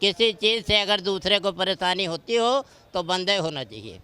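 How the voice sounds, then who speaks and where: A man's voice: sits high, animated, moderate pace, sharp tone. A man speaking as a member of the public in a street interview.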